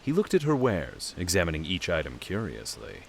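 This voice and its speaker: A man's voice: low-pitched, huge pitch swings, moderate pace, creaky, husky. A man narrating a fantasy audio drama in a dramatic scripted storytelling style.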